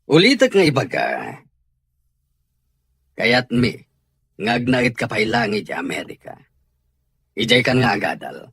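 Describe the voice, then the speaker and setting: A man's voice: mid-range voice, big pitch swings, measured pace, pauses often, fairly bright tone, slightly rough, dry recording, clean studio sound, heavily acted. A man playing a stern father of a teenager in an Ilocano audio drama, with a Filipino accent. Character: stern father firm with his teenage daughter.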